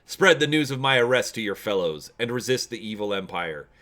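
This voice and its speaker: A man's voice: fairly low pitch, expressive, a little fast, pushed and bright. A man reading the part of a charismatic, defiant rebel orator in a dramatic storybook reading.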